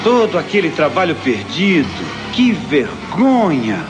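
A man's voice: fairly high pitch, sing-song, measured pace, music in the background. A man playing a kindly, earnest scientist who explains things, in a Brazilian cartoon dub. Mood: dismayed. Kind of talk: dubbed cartoon acting.

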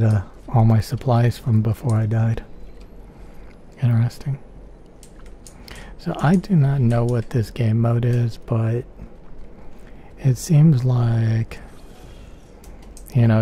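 A man giving soft-spoken ASMR gameplay commentary in a murmur, repeating pet phrases, close to the microphone. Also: mid-range voice, fairly even intonation, slow pace, long pauses. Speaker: video game streamer. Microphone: close stereo microphone. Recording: faint keyboard typing noise.

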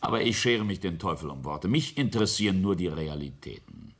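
A sardonic family patriarch in a radio drama. His voice is very low and husky, swinging widely in pitch as he mocks and accuses, sometimes dropping toward a whisper. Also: animated, heavily acted. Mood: cold menace. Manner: sardonic, deliberate, with whispered drops. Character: menacing, mocking family patriarch and ambitious industrialist. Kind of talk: German radio play acting.

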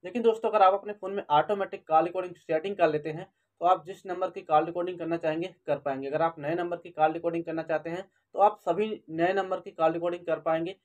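A man's voice: a little high, lo-fi sound. A man narrating a step-by-step phone tutorial, repeating instructions and addressing viewers as friends. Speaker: tech tutorial YouTuber and host.

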